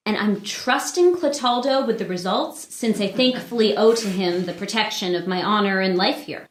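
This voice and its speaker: A woman's voice: medium pitch, moderate pace, slight background noise, close to an everyday voice. A woman playing an anxious, guarded young noblewoman in disguise in a dramatic play reading, earnest and formal. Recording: roomy, echoing indoor pickup.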